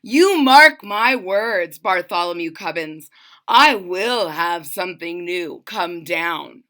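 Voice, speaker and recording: A woman's voice: lowish voice, animated, slow, bright, forceful tone, full character voice. A woman voicing a grumpy, proud old king in a storybook, growling and imperious. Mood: defiant rage.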